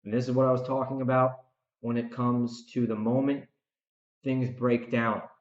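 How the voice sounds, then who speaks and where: Casting: man, mid-pitched voice, solo podcaster, preachy and repetitive for emphasis, roomy lo-fi recording. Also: flat delivery, moderate pace. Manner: emphatic, preachy, repeats phrases for stress. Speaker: podcast host and author. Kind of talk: rambling solo podcast commentary. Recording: roomy echo, slightly dull lo-fi sound.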